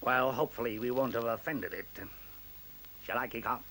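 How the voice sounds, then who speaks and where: A man's voice: mid-range voice, normal speed, a bit bright, close to an everyday voice, rough recording. An actor in a radio play voicing a conceited male professor with a blunt, dry and precise manner. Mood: wry. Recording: faint hiss on old radio recording.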